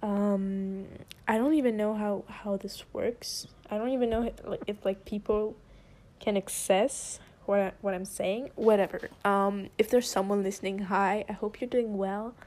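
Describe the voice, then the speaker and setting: A teenage girl with a French accent talks into her phone in a loose, rambling podcast, backtracking and restarting her thoughts as she goes. English is not her first language. Her voice is mellow and warm. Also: medium pitch, fairly even intonation, measured pace. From France. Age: teens. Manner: rambling, self-interrupting, chatty. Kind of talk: casual solo podcast.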